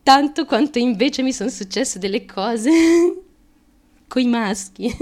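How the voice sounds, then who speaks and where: A woman's voice: high voice, expressive, darkish tone. An actress performing a theatrical monologue as a fragile, anxious, childlike young woman, stammering and repeating words as she confides feverishly. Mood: anxious, confiding. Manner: halting, stammering, repeats words, nervous giggles. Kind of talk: theatrical stream-of-consciousness monologue.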